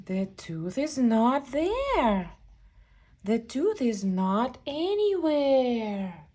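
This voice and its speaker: Slow, lively woman reading a storybook. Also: on the high side. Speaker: children's book reader. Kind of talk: read-aloud storybook narration for young kids.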